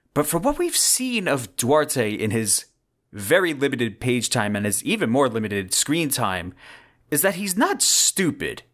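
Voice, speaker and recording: A man's voice: medium pitch, fairly bright tone. A man hosting a solo podcast, giving scripted commentary in a dramatic, emphatic storytelling manner. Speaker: podcast host.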